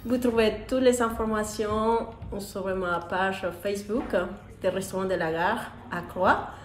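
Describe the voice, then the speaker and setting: A woman's voice: medium pitch, unhurried, roomy sound, over music. A woman in her forties, a restaurant manager, inviting viewers to monthly concerts, filmed in her dining room. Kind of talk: promotional invitation to camera.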